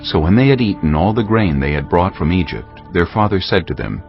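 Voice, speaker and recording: Very deep, gravelly male narrator. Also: very animated, fairly quick. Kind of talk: scripted dramatized Bible narration. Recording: slightly muffled, music behind.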